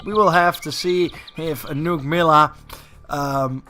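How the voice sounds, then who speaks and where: Bright-voiced man casting a game tournament. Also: on the high side, fairly even intonation, slow pace. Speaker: esports tournament commentator and host. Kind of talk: live game commentary.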